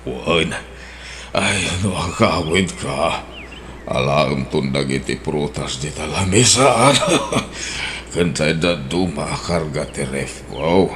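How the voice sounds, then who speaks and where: A man's voice: extremely low pitch, background noise. A man playing a frail, sick old man. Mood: generous, laughing. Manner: weak and slow, offering gifts cheerfully. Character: gravely ill man in his sixties, generous and kindly. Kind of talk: Ilocano radio drama acting.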